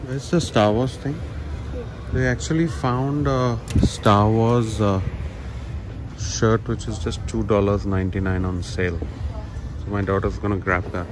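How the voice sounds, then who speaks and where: A man with a lowish voice narrates a casual walking tour of a large indoor shopping mall. He talks in a relaxed, conversational way, as if guiding friends. The phone-camera audio carries a clear wash of mall ambience behind him. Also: unhurried, background noise. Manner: relaxed, chatty tour-guide commentary. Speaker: vlogger. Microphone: handheld phone camera.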